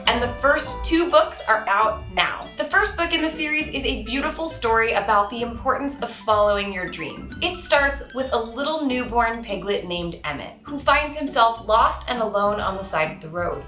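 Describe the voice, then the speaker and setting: A bright, forward-voiced woman narrates a children's book with an expressive storyteller's delivery. The room adds noticeable echo, and music plays behind her. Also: fairly high pitch, moderate pace, few pauses. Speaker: children's author reading aloud. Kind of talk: storybook read-aloud for children.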